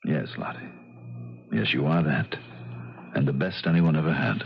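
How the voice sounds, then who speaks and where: An actor playing a brooding, sardonic concert pianist full of self-pity, in a theatrical 1970s radio drama style. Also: male voice, rumbling low, normal speed, vocal fry, breathy edge, faint music behind, full character voice. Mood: bitter, despairing. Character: bitter, self-pitying male concert pianist who lost a hand. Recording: dull vintage radio broadcast recording.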